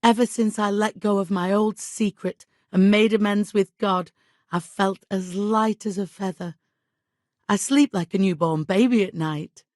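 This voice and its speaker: An actress playing a middle-aged medieval village widow in a video game, devout and guilt-ridden, with an exasperated, imperious and plaintive manner. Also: female voice, mid-range voice, measured pace, darkish tone, dry recording, studio quality, close to an everyday voice. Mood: relieved. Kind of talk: dramatic video game dialogue acting.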